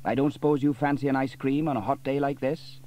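A man's voice: medium pitch, fairly even intonation, fairly quick, mellow, lo-fi sound, a little hiss or hum, voice pushed up, close to an everyday voice. A man voicing a cheerful, proud, inventive village fireman in a storybook reading. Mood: cheery. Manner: hearty and proud.

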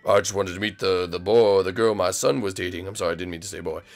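A man's voice: deep voice, fairly even intonation, quick pace, voice pushed down. Man playing a blunt, grumbling cartoon monster dad. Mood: awkward. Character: gruff, short-tempered cartoon monster dad.

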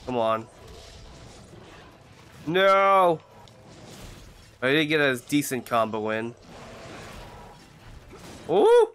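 A young man with a congested voice from a cold, casually commenting on his own gameplay and shouting out when his character gets hit. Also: on the high side, sing-song, drawn-out words, long pauses, slight background noise. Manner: chatty, reacting loudly to in-game hits. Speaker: gaming channel host.

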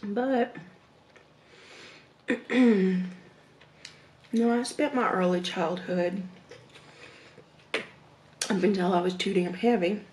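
Slow-talking Southern woman, echoey room. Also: medium pitch, steady tone, a little hiss or hum. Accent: Southern American. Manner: slow, reflective, with hums and soft laughs between bites. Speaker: mukbang vlogger. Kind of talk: casual storytelling while eating. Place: home dining room, indoors.

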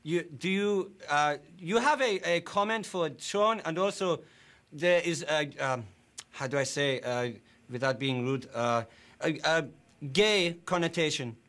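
A man playing a nervous, bumbling German interviewer who speaks halting broken English with a German accent. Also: on the high side, a bit bright. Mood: awkward. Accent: German (put on). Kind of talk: prank interview.

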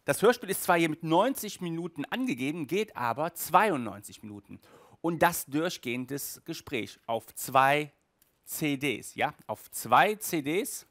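Slow-paced man hosting a chatty review. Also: on the high side, sing-song, fairly bright tone, dry recording, clean studio sound. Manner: chatty, emphatic, peppered with tag words. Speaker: audio drama reviewer and host. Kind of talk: casual review talk to camera. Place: small indoor room at home. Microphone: headset.

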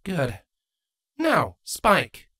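A woman voicing a stern changeling queen and mother in a storybook reading, measured, formal and commanding. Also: fairly low pitch, huge pitch swings, sharp tone, voice pushed up, full character voice.

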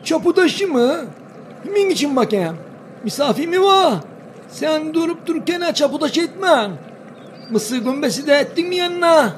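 A man's voice: extremely high pitch, lively intonation, moderate pace, slight background noise. A man voicing an affectionate, playful old village grandfather in a cartoon, with folksy, doting talk in a Denizli Aegean Turkish accent. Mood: nosy, grumbling. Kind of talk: cartoon voice acting.

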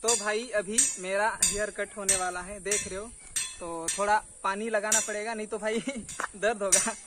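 A man's voice: high-pitched, steady tone, normal speed. A young man chatting casually and informally to viewers of his vlog, often repeating himself. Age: young adult. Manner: informal, repetitive, addresses viewers as friends. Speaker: vlogger. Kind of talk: casual vlog chat to camera. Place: outdoors in a village yard under trees. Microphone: phone camera at arm's length. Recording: outdoor phone recording with faint noise.

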